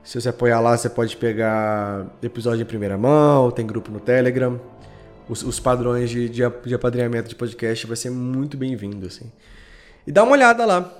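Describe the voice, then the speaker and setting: A man's voice: medium pitch, brisk. Casual Brazilian man over faint music. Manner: informal, slangy. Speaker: podcast creator, guest. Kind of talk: casual chat.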